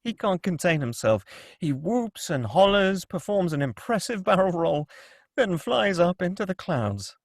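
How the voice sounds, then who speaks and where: A man narrates a screenplay at a table read. His voice is fairly high with a gravelly creak, and the sound is close and dry. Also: big pitch swings, measured pace, no echo. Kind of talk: scripted narration.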